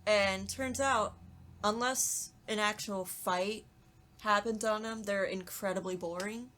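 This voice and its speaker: A young adult woman, a voice actress guest, chatting casually in an animated, giggly, self-deprecating way. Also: mid-range voice, takes it slowly, slight background noise. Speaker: voice actress guest on a podcast talkback. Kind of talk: casual chat.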